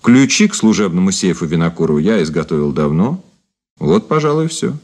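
This is a deep-voiced man in a Soviet radio play, a cynical ex-detective. He speaks in measured, theatrical phrases with grand irony and mockery. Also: big pitch swings, a little mellow, exaggerated voice. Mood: grave, measured. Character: cynical, ironic former detective officer and turncoat, grandly mocking. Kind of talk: Soviet radio drama acting.

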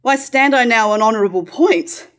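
A woman playing a proud, scornful, scheming royal sister in a staged reading of verse tragedy, declaiming in measured lines. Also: fairly high pitch, lightly acted. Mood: defiant, scheming. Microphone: stand microphone at lectern.

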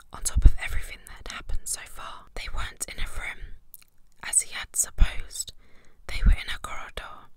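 A woman narrating a story in a breathy, unvoiced whisper, in ASMR audiobook style. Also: pushed and bright.

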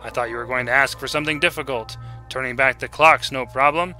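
A man voicing a smug, sharp little troll. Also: mid-range voice, soft background music. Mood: smug, breezy. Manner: brisk, flippant, wisecracking. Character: smug, impatient little male troll, wish-granter. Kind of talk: dramatic storybook reading.

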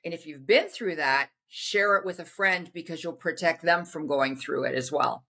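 A woman's voice: deep voice, normal speed. A middle-aged woman as an interview guest on a video call, giving earnest, emphatic advice with some hesitant fillers. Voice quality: loud voice. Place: indoor home room. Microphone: video call webcam.

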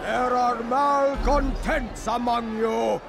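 An actor playing a tyrannical, power-drunk zealot ruler in a video game, with grandiose, declamatory delivery. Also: male voice, extremely high pitch, fairly even intonation, slow, creaky, full character voice. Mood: commanding. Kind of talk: theatrical public oratory in a video game. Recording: loud music and crowd behind voice.

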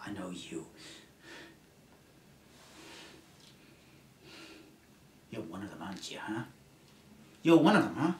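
A man playing a haunted, suspicious lone male survivor in an amateur film scene, speaking in hushed, intense tones that slip into whispers. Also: deep voice, big pitch swings, a little fast, roomy sound, a little hiss or hum, voice pushed down. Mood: menacing.

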